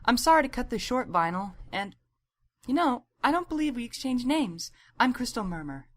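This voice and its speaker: A kindly pony receptionist played by a woman in a storybook drama. Her voice is a touch high. She speaks politely and in a friendly way. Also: very animated, normal speed. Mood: apologetic. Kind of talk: audiobook character acting.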